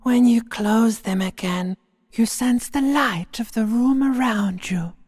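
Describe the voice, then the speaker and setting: Slow, eerie, high inner voice. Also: male voice, darkish tone, big-room sound, full character voice. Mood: grim, hushed. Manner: slow, breathy, dramatic, ominous. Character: inner nervous-system voice, menacing, taunting, weary, whispering doom. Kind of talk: video game voice acting, theatrical monologue.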